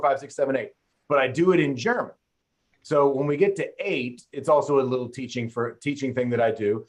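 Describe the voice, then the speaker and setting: A middle-aged man hosting a podcast, chatting casually and rambling into playful stories. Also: medium pitch. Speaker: podcast host. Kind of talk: casual interview chat.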